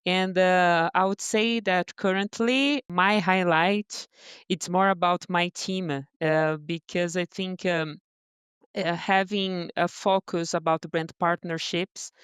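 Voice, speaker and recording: Brazilian-accented woman, smooth voice. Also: lowish voice, fairly even intonation, slow, fairly bright tone, dry recording, studio quality. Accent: Brazilian Portuguese. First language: Portuguese. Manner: hesitant, many fillers and restarts. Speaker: guest, brand partnerships director. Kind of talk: podcast interview answers.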